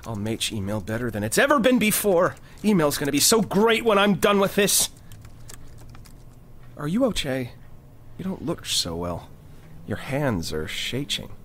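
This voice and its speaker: A man's voice: a little high, huge pitch swings, a little slow, long pauses, fairly bright tone, exaggerated voice. A man playing an earnest, defensive slacker boyfriend with a speech impediment in a comic audio drama. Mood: concerned. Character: Charlie, whiny, defensive, needy slacker boyfriend.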